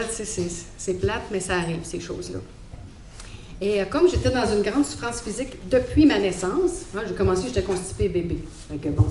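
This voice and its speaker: A woman's voice: mid-range voice, darkish tone. A woman from Montreal with a Quebec French accent, giving a personal faith testimony in a hesitant, reflective way with many fillers. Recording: echoey hall, faint background noise.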